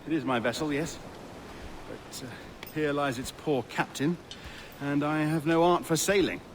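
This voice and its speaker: Man acting a timid, high-voiced genteel gentleman. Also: steady tone, measured pace, noisy background, natural voice. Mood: grateful, shaken. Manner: polite, nervous, hesitant, flowery. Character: timid, genteel, grateful gentleman merchant, easily flustered. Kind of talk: video game cutscene acting.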